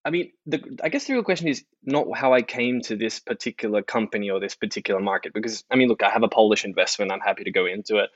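A man's voice: fairly low pitch, quick pace, few pauses, dry recording, muffled recording. A man in his thirties with an Australian accent, talking casually and wryly as a podcast guest. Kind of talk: casual interview conversation.

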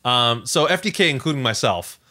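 Animated, bright-voiced man chatting casually. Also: medium pitch, a little fast. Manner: animated, rambling, self-correcting. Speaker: podcast co-host. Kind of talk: casual tech and entertainment chat.

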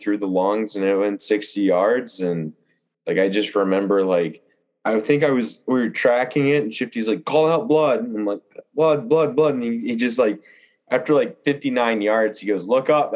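A young man with a fairly low voice tells outdoor anecdotes in a casual podcast chat. He is animated and informal, leaning on "like" as he builds each story.

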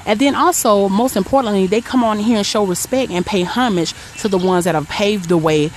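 A woman giving an upbeat, warm-hearted spoken shout-out in a casual message. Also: mid-range voice, a little fast, a little hiss or hum.

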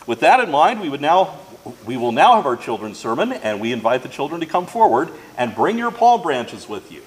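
Higher-pitched man addressing a church. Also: sing-song, brisk, slight background noise, low-quality recording. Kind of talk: reading announcements aloud to a congregation. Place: church during a service.

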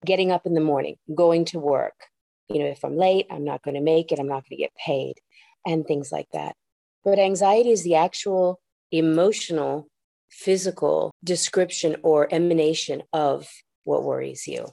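A woman therapist on a podcast, speaking low and a bit gravelly. Her tone is dark and mellow, and she explains things in a reflective, measured way. The sound is dry and close. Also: no room sound. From United States. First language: Japanese. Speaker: clinical therapist guest. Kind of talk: podcast interview, thoughtful explaining.

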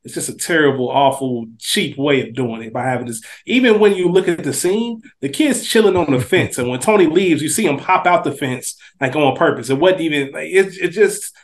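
Higher-voiced man giving casual podcast commentary. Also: animated, brisk, hardly any pauses. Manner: opinionated, informal critique. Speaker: podcast co-host. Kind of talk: casual TV recap chat.

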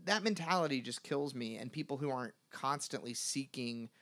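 Man, podcast co-host, casual chat, emphatic, lively pitch jumps. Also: normal speed. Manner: animated, emphatic, jumps up in pitch when worked up.

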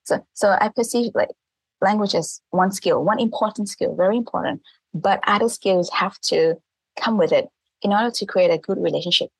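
Husky woman. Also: medium pitch, moderate pace, no room sound, low-quality recording. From Thailand. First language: Thai. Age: 30s. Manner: earnest, laughs often, restarts phrases. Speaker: guest, language teacher. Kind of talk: casual interview talk.

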